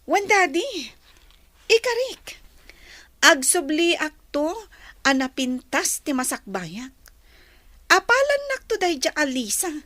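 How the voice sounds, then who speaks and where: A woman's voice: high-pitched, big pitch swings, takes it slowly, pauses often, pushed and bright, dry recording, full character voice. A woman playing a resentful, ambitious young daughter in a Filipino radio drama, speaking emphatically and defiantly. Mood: determined.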